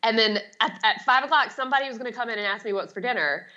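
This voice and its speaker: Bright-voiced woman, chatty and emphatic. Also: on the high side, racing, dull audio. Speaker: guest, home organizing expert. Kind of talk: casual conversational interview.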